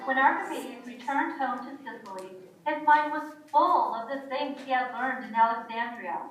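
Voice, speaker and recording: A woman's voice: a little high, normal speed. A woman narrator reading a scripted children's story in a storytelling style. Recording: echoey room, far from mic. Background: music.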